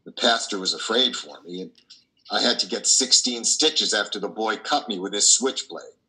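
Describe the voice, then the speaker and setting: Troubled older priest, sharp forceful voice, played by a man. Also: on the low side, animated, exaggerated voice, poor audio. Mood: agitated, aggrieved. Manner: halting, fervent, with repeated words. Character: troubled, tender older priest confessing his doubts. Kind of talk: dramatic monologue in a play reading. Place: wood-panelled room at home, indoors. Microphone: video call, computer microphone.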